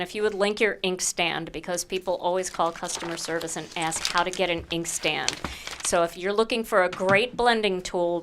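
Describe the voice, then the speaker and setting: A woman's voice: mid-range voice, noisy background. A woman hosting a casual craft livestream, chatty and bubbly, laughing often with her guests. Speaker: livestream host.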